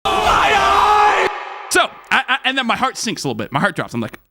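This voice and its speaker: A man who streams, telling a casual story to viewers in an excitable, slangy way, repeating phrases for emphasis. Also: extremely high pitch, normal speed, vocal fry, slightly rough, shouting, some chatter behind.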